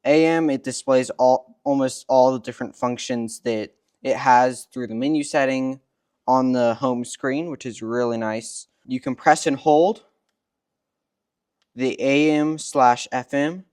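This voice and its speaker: Smooth-voiced man demonstrating gear, dry sound. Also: medium pitch, unhurried, a little mellow, no echo. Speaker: product demonstrator. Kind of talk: step-by-step tutorial explanation. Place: indoors in a workshop.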